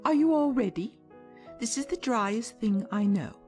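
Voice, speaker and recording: A high, lively-pitched mouse acted by a woman for a storybook audiobook. It is pompous and pedantic, holding forth with great self-importance and a prickly politeness. Faint music sits behind the voice. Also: measured pace. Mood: self-important. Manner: pompous, pedantic, polite but easily nettled. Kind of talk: dramatized storybook audiobook acting.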